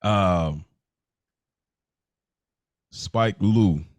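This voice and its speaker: Earnest low-voiced American man co-hosting. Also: extremely slow, dry recording. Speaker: podcast co-host. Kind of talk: casual chat.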